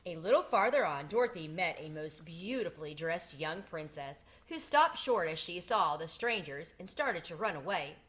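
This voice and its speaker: A woman's voice: lowish voice, lively intonation, moderate pace, hardly any pauses, fairly bright tone. A woman reading a children's storybook aloud as a storyteller.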